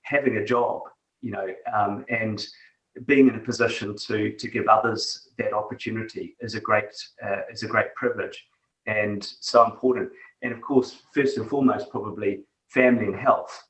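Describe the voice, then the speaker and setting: A man's voice: lowish voice, fairly even intonation, normal speed, a bit bright. Reflective New Zealand man, roomy sound. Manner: reflective, many fillers and restarts. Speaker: guest, tech executive. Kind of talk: conversational interview. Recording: echoey room, distant from mic.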